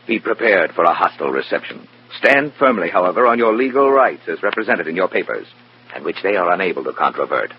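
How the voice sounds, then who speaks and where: An actor playing a stern, contemptuous Communist Party boss with clipped, formal, imperious speech in an old radio drama. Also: male voice, deep voice, very animated, fast talker, husky, heavily acted. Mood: cold, authoritative. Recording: dull, noisy, humming old radio recording.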